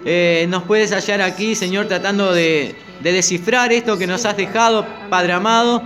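A man's voice: high-pitched, fairly even intonation, moderate pace, a bit bright, faint music behind. An older man with a Rioplatense Spanish accent, leading a Bible study group and its spoken prayer in an earnest, questioning way.

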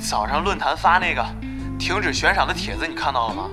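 An actor playing a flustered, excitable, put-upon gamer who grumbles and stammers, in a Chinese audio drama. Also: male voice, fairly high pitch, a little fast, natural voice. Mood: exasperated. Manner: exasperated, voluble, stammering complainer. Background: music.

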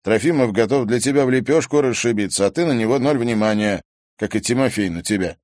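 A male audiobook reader voicing a calm, blunt young male student who gives straight advice. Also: medium pitch, fast, dry recording, studio quality, voice pushed up, close to an everyday voice. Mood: advising. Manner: calm, matter-of-fact.

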